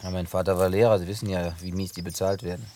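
An actor playing a gruff, wary, plain-spoken American country man in a naturalistic German radio play, with a blunt, colloquial, teasing manner. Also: low voice, flat delivery, quick pace, darkish tone, a little hiss or hum. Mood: wry, reflective.

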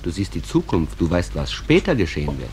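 An actor playing a grave, all-knowing narrator figure among the dead in a radio drama. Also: male voice, low-pitched, huge pitch swings, a little fast, darkish tone, slight background noise. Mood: grave, warning. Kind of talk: theatrical radio drama acting.

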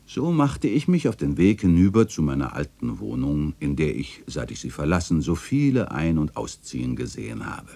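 An actor playing a melancholy old chronicler in a literary radio play, narrating in a wistful, theatrical and solemn way. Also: male voice, extremely low pitch, sing-song, normal speed, few pauses, warm tone, heavily acted. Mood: wry, storytelling. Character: melancholy old male chronicler remembering his neighbourhood and its dead.

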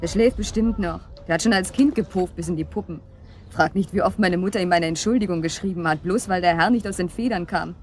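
An actress in a German radio drama playing an uneasy, guarded older sister with a plain-spoken, wry manner. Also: female voice, lowish voice, brisk, darkish tone, natural voice. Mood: wry, pensive. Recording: clearly noisy ambient background.

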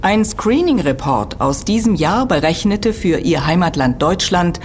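Low-voiced, animated female lecturer. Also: unhurried, slight background noise. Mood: measured, factual. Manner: precise, didactic, emphatic. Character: expert female university lecturer, earnest and critical. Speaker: expert being interviewed. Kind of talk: German audio drama dialogue, explanatory lecturing.

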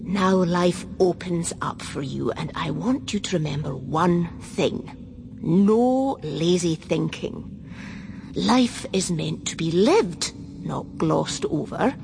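Stern older headmistress, low gravelly voice, slow exacting delivery, radio play over noisy ambience. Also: female voice, lively intonation, full character voice. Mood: solemn admonishing. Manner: measured, admonishing, precise. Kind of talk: radio drama acting.

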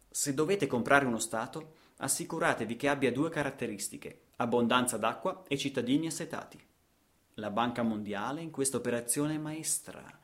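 A man's voice: mid-range voice, fairly bright tone. A man with an Italian accent performing a satirical radio theatre monologue, ironic and emphatic, posing rhetorical questions.

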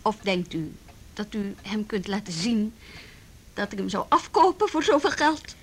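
A woman's voice: medium pitch, big pitch swings, measured pace, slightly rough, full character voice. An actress playing a proud, defiant young policewoman in a Dutch radio play, indignant and pointed yet formally polite. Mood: suspicious.

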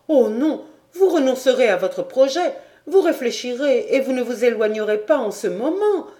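A woman's voice: fairly high pitch, lively intonation, moderate pace, warm tone, voice pushed up, natural voice. A woman voicing an anxious young noblewoman who pleads urgently, in a storybook reading. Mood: pleading. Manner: urgent, imploring.

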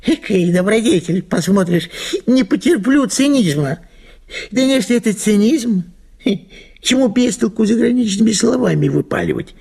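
A man's voice: high-pitched, expressive, normal speed, gravelly, dark tone, husky, voice pushed up, exaggerated voice. A man playing a sleepy, grumpy, cynical husband who mumbles and drawls in an audio play. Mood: mocking.

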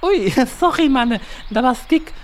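A man's voice: very high-pitched, expressive, unhurried, slight background noise. A man voicing a childlike, fawning outlaw sidekick in a comic radio play. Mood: sheepish. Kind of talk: comedic radio play acting.

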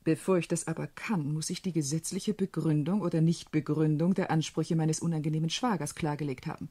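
An actress playing a shrewd, proud, self-possessed widowed sister in a German radio play, speaking deliberately and expressively. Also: female voice, low voice, steady tone, dark tone, slightly rough. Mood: businesslike, firm.

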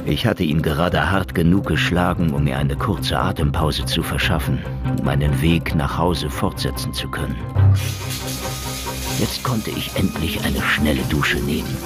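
An actor playing a cynical, tough private detective, sardonic and hard-boiled, in a noir radio drama. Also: male voice, extremely low pitch, unhurried. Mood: brooding. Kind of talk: noir radio drama with first-person narration. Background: music.

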